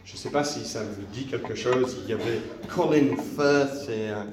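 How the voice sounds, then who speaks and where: A man giving a relaxed, chatty sermon, telling a story to the congregation in a church. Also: mid-range voice, moderate pace, background noise. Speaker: preacher. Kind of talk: conversational sermon, retelling a film. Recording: echoey church from a distance.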